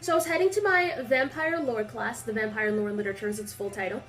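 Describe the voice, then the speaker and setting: A woman's voice: a little high, fast talker, faint music behind. Young woman vlogging with a stuffy nose. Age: young adult. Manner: rambling, filler-heavy, animated storytelling. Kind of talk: casual chatty vlog. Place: small indoor room.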